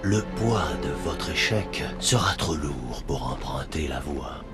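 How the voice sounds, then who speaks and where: An actor playing a solemn old alien high prophet, gravelly and grandiose, passing judgement in a French game dub. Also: male voice, expressive, heavily acted. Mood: solemn, condemning. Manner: slow, grandiose, measured. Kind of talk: dramatic French video game dubbing. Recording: music behind the dialogue.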